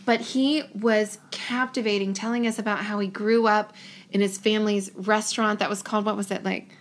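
A woman chatting casually as a restaurant-server guest, animated and enthusiastic. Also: mid-range voice, a little fast. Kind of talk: casual chat.

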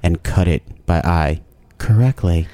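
Deep, mellow-voiced man hyping cheese. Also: measured pace, no echo. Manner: enthusiastic, playful, with hype-man shout-outs and restarts. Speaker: podcast guest, cheese competition organizer. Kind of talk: casual chat.

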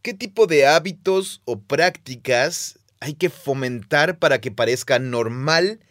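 A man's voice: mid-range voice, animated, measured pace, a bit bright, no echo, clean studio sound. A man co-hosting a conversational podcast, explaining ideas in a thoughtful, teacherly way and laughing easily. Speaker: podcast co-host, Bible teacher.

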